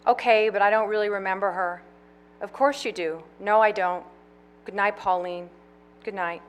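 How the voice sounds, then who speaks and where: A woman's voice: mid-range voice, fairly even intonation, moderate pace, natural voice. A woman voicing a childlike grown man who whines and pleads. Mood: pleading. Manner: whiny, pleading.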